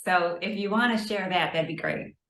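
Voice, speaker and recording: Woman host, lowish voice, blunt questions, roomy echo. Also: normal speed, fairly bright tone, a little hiss or hum. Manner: direct, probing questions. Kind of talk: conversational interview.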